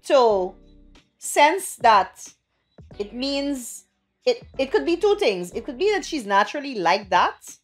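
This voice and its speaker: Animated, high-voiced woman. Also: slow pace, faint music behind. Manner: insistent, animated, gesturing. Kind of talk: casual podcast debate. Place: small indoor lounge studio. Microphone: podcast microphone on boom arm.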